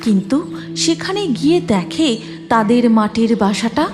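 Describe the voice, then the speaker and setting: A woman's voice: a little high, expressive, a little slow, over music. A woman narrator reading a scripted fairy tale for a cartoon.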